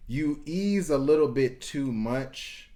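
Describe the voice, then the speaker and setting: A man's voice: medium pitch, expressive, takes it slowly, mellow. A man co-hosting a reality show review in casual chat, easygoing, thinking aloud with restarts and fillers.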